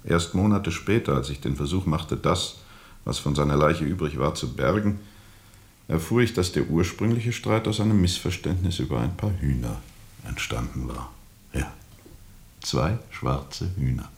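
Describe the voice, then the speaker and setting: An actor playing a weary, bitterly ironic steamboat captain in a radio play, speaking deliberately and sardonically with weighty pauses. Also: male voice, very deep voice. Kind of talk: radio play acting, testimony under questioning.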